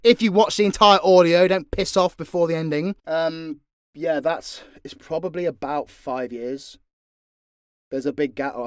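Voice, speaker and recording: British guy chatting off the cuff, blunt n sweary, mid pitch, really dry close sound. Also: dry recording. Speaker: audio drama creator answering fan questions. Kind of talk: casual unscripted Q&A chat.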